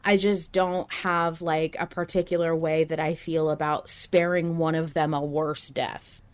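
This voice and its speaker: A woman with a voice a little lower than average chats as a podcast host. She works through her thoughts aloud, hedging and qualifying as she explains her view in a casual, conversational way. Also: flat delivery, unhurried, smooth. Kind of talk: casual discussion of a fiction podcast.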